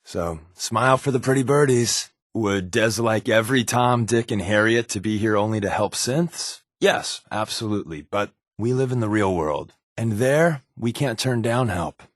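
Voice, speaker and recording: Game companion, male actor as wry secret agent. Lower-pitched, conversational, sardonic wisecracker who confides easily; dry studio sound. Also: moderate pace, few pauses, no echo, close to an everyday voice. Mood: earnest, wry. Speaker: video game companion character. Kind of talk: conversational video game voice acting.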